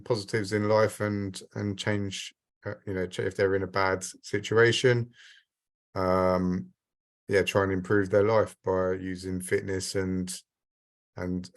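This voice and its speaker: A man who works as a fitness coach, talking hesitantly with many pauses and restarts in a round-table discussion. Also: sits low.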